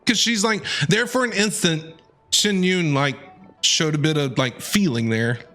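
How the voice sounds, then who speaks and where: A man giving casual, slangy, excitable reaction commentary as a game streamer. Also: a little high, a little slow. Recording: game music behind.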